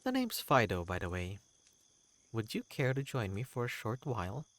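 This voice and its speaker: A man's voice: on the low side, huge pitch swings, moderate pace, dry recording. A man voicing an eccentric, proud wanderer creature telling tales in a fantasy game, with a theatrical, whimsical and courteous manner. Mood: eager, friendly. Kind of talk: character voice in a fantasy game reading.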